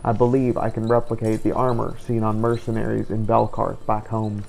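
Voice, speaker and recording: A man narrating a scripted first-person journal of a game playthrough in a measured, formal storytelling manner. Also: fairly low pitch, flat delivery, gravelly, a little mellow, a little hiss or hum, poor audio. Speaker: host and player of a game playthrough.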